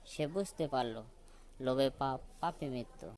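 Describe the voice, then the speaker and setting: A man's voice: medium pitch, measured pace, darkish tone. A man narrating a scripted children's story. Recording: noticeable background noise.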